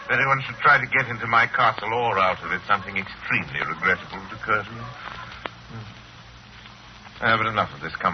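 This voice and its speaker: Through a muffled, hissy 1940s radio broadcast comes a man playing an aristocratic hunter villain. His low voice rises and falls dramatically, with courtly manners and smooth menace in formal phrases. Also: lightly acted. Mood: suave, boastful. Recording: dull, noisy 1940s radio recording.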